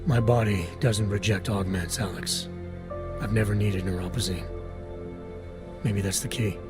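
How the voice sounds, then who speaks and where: An actor playing a stoic, guarded augmented agent in a video game, with a low gravelly voice and clipped, deadpan delivery. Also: male voice, unhurried, lightly acted. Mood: grim, thoughtful. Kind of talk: video game cutscene acting. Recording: music under the voice.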